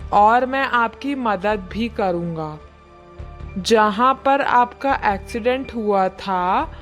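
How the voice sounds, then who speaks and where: A woman plays a helpful, slightly nervous uncle in a horror cartoon. The voice is fairly high and slow, placating and deliberate, over faint music. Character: obliging, nervous adult man, a helpful uncle. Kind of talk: animated Hindi horror story dialogue.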